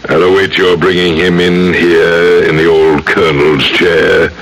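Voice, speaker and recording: An imperious, greedy older judge in vintage radio theatre. His voice is lowish and hard-edged, and he speaks formally at a deliberate pace over a slightly noisy broadcast. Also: level tone, fairly bright tone. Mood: menacing. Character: menacing, greedy, pompous older male judge. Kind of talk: old-time radio drama acting.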